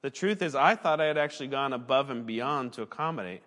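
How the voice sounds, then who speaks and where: A man's voice: medium pitch, lively intonation, fast talker, a bit bright. Earnest male preacher in a reverberant hall. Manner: earnest, conversational preaching with occasional self-corrections. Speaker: pastor, former missionary. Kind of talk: sermon.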